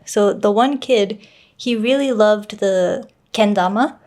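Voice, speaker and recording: Woman, podcast host, casual conversation, relaxed, light laughter, middle pitch. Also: slow. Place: Japan.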